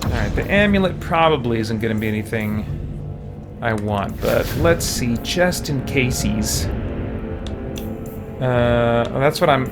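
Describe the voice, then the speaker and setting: A man's voice: mid-range voice, animated, measured pace, pauses often. A man doing casual game commentary as a streamer, rambling and thinking aloud. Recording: loud game music behind voice. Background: game music and effects.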